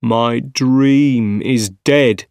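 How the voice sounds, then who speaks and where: Man as a slow, brooding scientist villain. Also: mid-range voice, steady tone, dry recording, studio quality, natural voice. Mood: grieving. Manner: slow, heavy, dramatic delivery.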